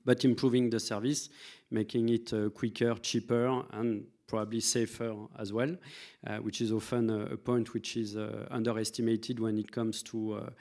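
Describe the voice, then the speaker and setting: Even-toned man answering on a panel. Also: on the low side, normal speed. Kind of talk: conference panel talk.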